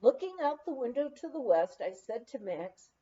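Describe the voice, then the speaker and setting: A woman reading a memoir aloud in an expressive, unhurried storytelling manner. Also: fairly high pitch, muffled recording. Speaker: audiobook reader.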